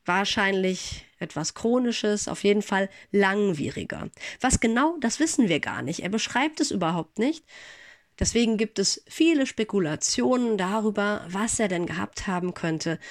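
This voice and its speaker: Woman pastor, middle-aged, calm and reflective devotional read, a touch congested, dry close sound. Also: medium pitch, measured pace, dry recording. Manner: calm, gentle, reflective address to listeners. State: recovering from an infection, slightly congested. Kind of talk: spoken devotional.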